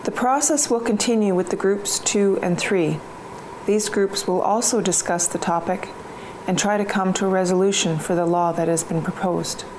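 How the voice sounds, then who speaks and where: A woman's voice: medium pitch, moderate pace, darkish tone, slight background noise, rough recording. A woman giving scripted informational narration in an explanatory way.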